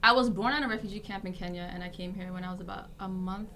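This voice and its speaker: A woman's voice: medium pitch, quick pace, crowd noise. A young woman from Minnesota, a guest talking eagerly and casually about her life, filling her sentences with "like". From Minnesota, USA. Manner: chatty, eager, peppered with "like". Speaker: guest, fashion brand founder. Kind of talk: casual interview chat.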